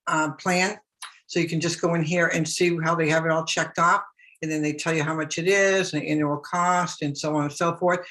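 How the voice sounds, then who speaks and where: A woman's voice: sits low, a bit bright, poor audio. A middle-aged woman talking through a screen-share tutorial in a chatty, repetitive way, full of fillers and restarts, while she is losing her voice. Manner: chatty, repeats herself, many fillers and restarts. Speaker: business blogger teaching online marketing.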